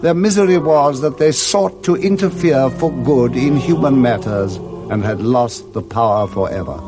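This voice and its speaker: A male narrator tells a literary tale in the manner of 1960s radio drama. His delivery is measured and theatrical, at a medium pitch, with music clearly behind him.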